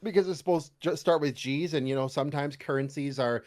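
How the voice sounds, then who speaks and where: A man's voice: a little high, a little fast, darkish tone. A Canadian man chatting casually as a podcast guest, animatedly slipping into mock voices when he quotes people. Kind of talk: casual interview chat.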